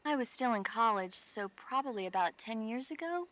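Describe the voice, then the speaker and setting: A woman's voice: on the high side, lively intonation, moderate pace, fairly bright tone, poor audio. An actress playing a chatty, earnest female support officer and film buff in a video game, explaining things conversationally. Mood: enthusiastic.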